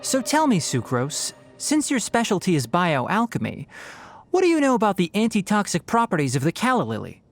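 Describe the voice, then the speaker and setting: A man's voice: sits high, wildly swooping pitch, normal speed, soft background music, exaggerated voice. A man voicing a courteous, clever young botanist and forest watcher in an anime game, articulate and composed.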